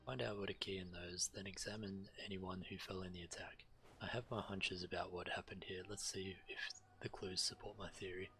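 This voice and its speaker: An actor playing a grave, urgent walrus-folk investigator in a video game, solemn and full of foreboding. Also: male voice, low voice, level tone, moderate pace, bright, forceful tone, slight background noise. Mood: somber.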